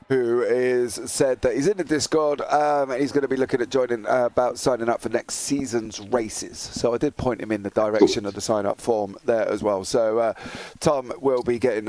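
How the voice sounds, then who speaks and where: A man's voice: medium pitch, darkish tone. A man hosting a live sim racing broadcast, chatting and joking with guests as commentator. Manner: chatty, jokey. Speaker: race commentator and host.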